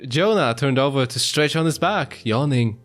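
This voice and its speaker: A man narrates a visual novel in a very animated, up-and-down delivery over background music. He reads the story casually and slips into jokey, mock-apologetic asides. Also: mid-range voice, moderate pace. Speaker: host reading a visual novel aloud for a video. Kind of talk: casual let's-play narration, reading story text aloud with joking asides. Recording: music behind the voice.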